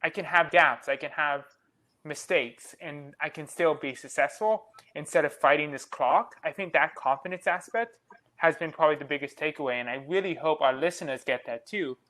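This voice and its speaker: A young man co-hosting a podcast, earnest and reflective, speaking in quick run-on sentences. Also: on the high side, pushed and bright, poor audio. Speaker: podcast co-host. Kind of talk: casual podcast conversation.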